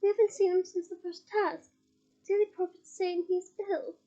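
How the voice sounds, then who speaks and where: A woman voicing a matter-of-fact schoolboy, plain-spoken, very high, mellow, dull lo-fi sound. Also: flat delivery, normal speed. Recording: slightly dull lo-fi recording.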